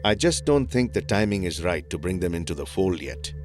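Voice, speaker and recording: A man playing a cautious, considerate colleague of an investigator in a measured audio drama. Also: low-pitched, sing-song. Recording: music under the dialogue.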